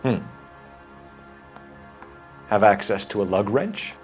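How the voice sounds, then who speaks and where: Blunt, accusing detective. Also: male voice, on the low side, very animated, slow pace, faint music behind. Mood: probing. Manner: accusatory, terse. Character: blunt, needling male police detective. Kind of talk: video game voice acting, 1940s crime drama.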